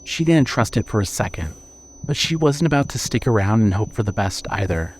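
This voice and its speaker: A man narrating scripted horror fiction in a measured, dramatic storytelling manner. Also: on the low side, sing-song, a little hiss or hum.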